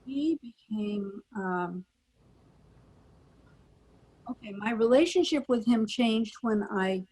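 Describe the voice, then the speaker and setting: A woman's voice: on the high side, animated, slow, a little mellow, husky, rough recording. An older American woman, a former performer, reminiscing in a panel interview and searching for her memories. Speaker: panel guest, former child radio actress.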